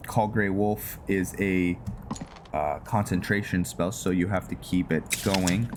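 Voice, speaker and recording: A man giving casual gameplay commentary mixed with story narration, halting and thinking aloud as he goes. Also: sits low, fairly even intonation, noisy background. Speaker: host of a gaming roleplay series. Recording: music behind the voice. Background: music.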